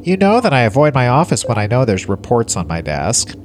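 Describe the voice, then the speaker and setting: A man's voice: medium pitch, huge pitch swings, a little fast, slight background noise. A man playing a lazy older alien starship commander, pompous yet casually indifferent, in a comic sci-fi audio play. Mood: breezy, evasive. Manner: pompous log-keeping sliding into casual indifference.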